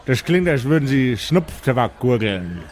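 A man's voice: medium pitch, very animated, a little slow, a little mellow. A man voicing a well-off, courteous dwarf banker who grumbles wearily in a tabletop game, with genteel manners and sighing interjections. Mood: grumbling. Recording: music playing behind the voice.

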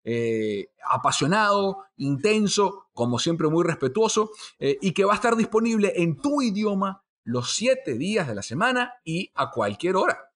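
A man's voice: high-pitched, very animated, moderate pace, few pauses, a bit bright. Chatty, jokey man, raised voice. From Venezuela. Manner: jokey, chatty, many fillers. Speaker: podcast host, sports journalist. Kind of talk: casual chat.

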